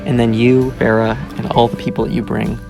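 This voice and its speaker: A man from the American South hosting and narrating a food documentary in a measured, earnest way. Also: mid-range voice, flat delivery, vocal fry. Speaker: host and narrator. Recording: narration under loud music.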